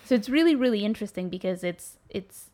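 A woman's voice: mid-range voice, animated, brisk, mellow. A woman who works as a management consultant, explaining her view earnestly and at length in casual conversation.

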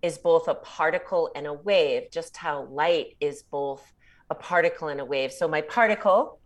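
A woman in her sixties talks as a podcast guest in a relaxed, reflective way, often breaking into laughter. Her voice sits a little low for a woman. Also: moderate pace. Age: 60s. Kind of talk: casual interview chat.

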